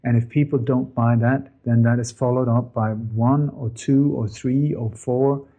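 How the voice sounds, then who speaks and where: A man's voice: mid-range voice, fairly even intonation, a little slow, warm tone, lo-fi sound. A middle-aged man, telling his story to an interviewer in an earnest way with many fillers and self-corrections. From born in Denmark, grew up in Sweden. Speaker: interview guest, former journalist.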